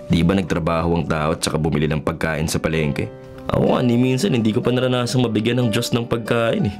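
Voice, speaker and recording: Guy acting as skeptical filipino reporter in his 20s, lowish voice, radio drama w loud music under it. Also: level tone, fast talker, lightly acted. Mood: bitter, scornful. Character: skeptical 23-year-old male journalist, faithless and self-reliant.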